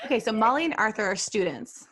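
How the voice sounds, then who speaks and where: A woman co-hosting a podcast, tossing in quick jokes in casual banter. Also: on the high side, lively intonation, a bit bright. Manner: quippy, joking. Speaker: podcast co-host.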